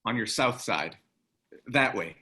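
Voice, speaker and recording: A man's voice: medium pitch, lively intonation, slow, sharp tone, slightly rough, full character voice. Man acting a terse ex-military man. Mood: terse, commanding. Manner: terse, commanding. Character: gruff, weary ex-military man, impatient but protective. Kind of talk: screenplay table read acting.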